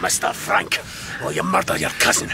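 An actor playing a stern, scolding Scottish man in a radio drama, with a gravelly voice. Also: moderate pace, heavy background noise, natural voice. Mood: stern rebuke. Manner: stern, admonishing. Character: commanding, scolding Scottish man breaking up a brawl.

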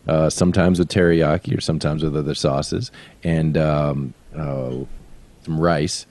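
This man has a very deep voice and talks slowly. He explains things in a relaxed way, pausing with fillers as he searches for words. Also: dry recording. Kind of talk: casual comedic podcast chat.

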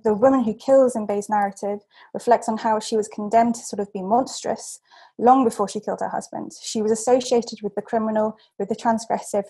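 Soft-voiced woman reading a paper. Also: medium pitch, husky, rough recording. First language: English. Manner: measured, with frequent ums and self-corrections. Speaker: seminar speaker.

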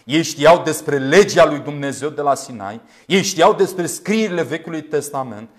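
Earnest male preacher, slightly high-pitched. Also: animated. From Romania. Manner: earnest, emphatic, with deliberate repetition. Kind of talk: sermon with scripture commentary. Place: small indoor room, standing at a glass pulpit. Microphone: lapel mic.

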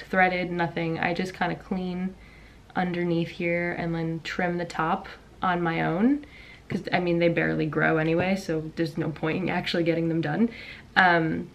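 A young woman vlogging casually from her bedroom, chatty and rambling with lots of ums and likes, her voice raspy from just waking up. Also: fairly low pitch. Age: young adult. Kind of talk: casual vlog chat. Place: bedroom at home. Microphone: camera in front at desk.

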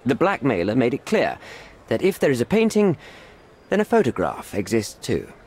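An actor playing a middle-aged envoy in a video game, formal, evasive and smooth-talking, with a placating, pleading manner. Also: male voice, mid-range voice, wildly swooping pitch, normal speed, a little mellow. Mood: evasive, regretful. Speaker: British envoy. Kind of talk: video game cutscene acting.

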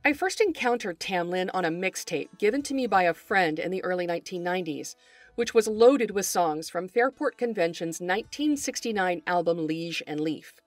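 A woman's voice: lowish voice, lively intonation, moderate pace, no echo, soft background music. A woman hosting a podcast, reading scripted narration in a formal, articulate way. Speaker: podcast host, folklorist.

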